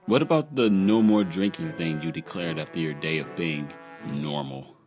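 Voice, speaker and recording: A man voicing a jaded, sarcastic little fairy-world sidekick in a comic dub, with dry deadpan delivery. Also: extremely low pitch, normal speed, faint music behind. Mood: deadpan teasing. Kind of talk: comic fan-dub voice acting.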